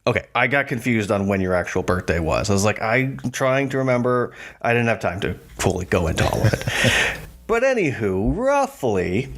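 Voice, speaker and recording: A man's voice: fairly low pitch, big pitch swings, normal speed, fairly bright tone. A man in his thirties co-hosting a casual podcast, retelling scenes with lots of fillers and frequent laughter. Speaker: podcast co-host. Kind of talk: casual chat.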